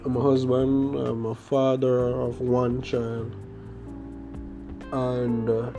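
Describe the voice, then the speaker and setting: A young man with a Jamaican accent talks slowly through a casual podcast monologue, his voice gravelly and full of fry. He rambles and restarts as he goes, and guitar music plays clearly behind him. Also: mid-range voice, little pitch movement, dull audio. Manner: rambling, hesitant, many fillers and restarts. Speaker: podcast host.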